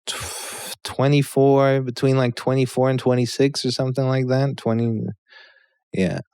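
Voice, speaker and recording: A man's voice: mid-range voice, fairly even intonation, unhurried, darkish tone, clear, resonant tone, no echo, studio quality. A Polish-born man in his thirties, a guest comedian, telling a rambling story on a podcast and checking in with "yeah?" as he goes. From Poland.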